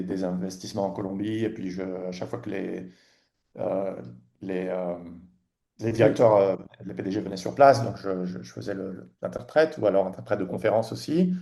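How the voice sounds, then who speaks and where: A man in his forties from Tunisia, a guest on a podcast, talking casually in a halting way with many restarts and fillers. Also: lowish voice, a little mellow. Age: 40s. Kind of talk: casual interview chat.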